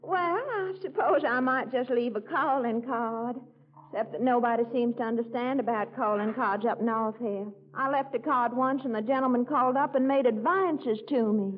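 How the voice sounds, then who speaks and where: A woman's voice: fairly high pitch, normal speed, natural voice. An actress playing a genteel, gushing and inquisitive lady neighbour in a 1940s radio comedy. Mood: genteel, coy. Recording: dull, lo-fi 1940s radio recording.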